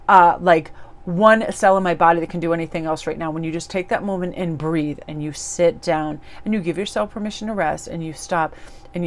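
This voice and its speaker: Lowish-voiced woman, chatty and earnest, rambling tarot reader talking to her viewers. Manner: rambling, earnest, encouraging, lots of fillers. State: recovering from losing her voice. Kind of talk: casual spoken reflection to viewers.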